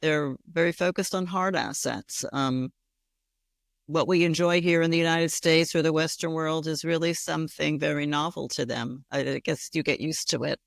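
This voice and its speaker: A woman's voice: low-pitched, fairly even intonation, moderate pace, gravelly, dry recording, clean studio sound. A woman co-hosting an interview show, asking questions in a friendly, conversational way. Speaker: podcast co-host.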